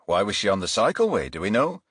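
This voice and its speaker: Man playing a calm, affable detective inspector. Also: lowish voice, fast talker, slightly rough, no echo, clean studio sound, heavily acted. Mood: attentive. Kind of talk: audiobook character voice.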